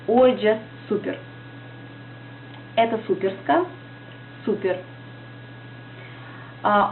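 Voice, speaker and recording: A woman's voice: on the high side, slight background noise. A woman with a Russian accent, a native Russian speaker, teaching in a casual vlog lesson, hesitant and searching for words as she talks. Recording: tinny lo-fi audio with music behind.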